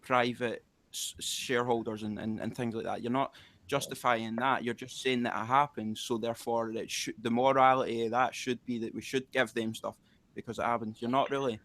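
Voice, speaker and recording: A man's voice: mid-range voice, steady tone, brisk, fairly bright tone. A man arguing his point in a casual online debate, rambling and restarting often. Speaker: debate participant.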